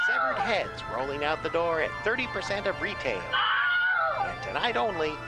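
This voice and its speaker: Casting: booming horror-store pitchman, swooping voice, loud music under. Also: rough recording. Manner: theatrical, booming. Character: hammy male horror-store ad announcer. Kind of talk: spooky radio ad.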